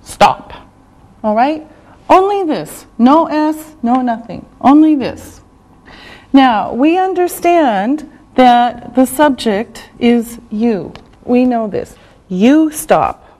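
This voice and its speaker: A woman teaching English, who says each phrase slowly and clearly, repeats it, and checks in with "okay?". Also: sits high, animated, mellow. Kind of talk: slow, deliberate classroom teaching.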